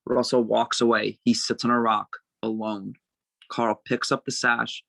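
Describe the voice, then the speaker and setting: A man narrating a screenplay's stage directions at a table read, with a gravelly voice. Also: medium pitch, level tone, measured pace, fairly bright tone, dry recording.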